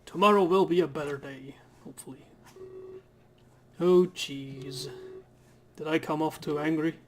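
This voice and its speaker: A Dutch man gives easygoing gameplay commentary at a slow, unhurried pace. His voice sits a little high, and he often trips over words and corrects himself. Faint music runs underneath. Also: steady tone. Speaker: let's player. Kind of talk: casual gameplay commentary, reading game text aloud.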